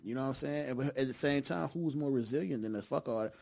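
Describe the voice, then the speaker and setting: A man's voice: medium pitch, quick pace, vocal fry, darkish tone. Passionate man preaching in street slang. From Detroit. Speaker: podcast guest. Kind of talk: casual studio conversation.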